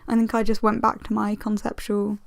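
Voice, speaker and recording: A woman's voice: mid-range voice, completely flat delivery, fairly quick, gravelly, dry recording, clean studio sound. A woman in her twenties with a slightly strained voice, giving a chatty, self-deprecating, rambling commentary as a casual video host. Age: 20s. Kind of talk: casual sketchbook tour commentary.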